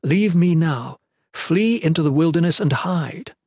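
Man voicing a desperate young queen, pitched high. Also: expressive, measured pace, warm tone, no echo, clean studio sound. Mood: angry, commanding.

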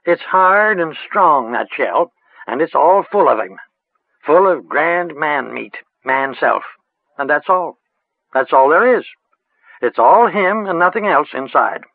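High old crone's voice from a male reader, rustic dialect, lively lilting rise and fall, tinny phone-like sound. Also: slow pace, no room sound, full character voice. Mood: musing. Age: older. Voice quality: rustic dialect, sing-song and chanting. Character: old female village witch, rustic and earthy. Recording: tinny phone-like line, dry.

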